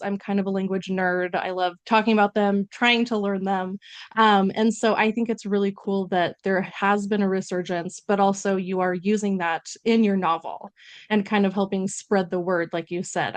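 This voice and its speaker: Bubbly woman podcast host, mid pitch, close and dry recording. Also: fairly even intonation, normal speed, clean tone, no echo. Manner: upbeat, gushing. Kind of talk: casual interview chat.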